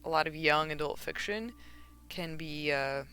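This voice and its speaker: Chatty gravelly-voiced young woman. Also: sits low, unhurried, bright, forceful tone, slight background noise. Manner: rambling, chatty, with fillers and laughs. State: a bit hoarse from not talking for hours. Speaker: craft streamer. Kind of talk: casual streaming chat while knitting. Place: at home, alone.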